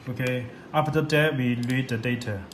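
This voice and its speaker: A man demonstrating a product in a step-by-step tutorial, speaking halting, repetitive non-native English. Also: mid-range voice. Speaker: product demonstrator for a radio seller. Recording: echoey room, loud background noise.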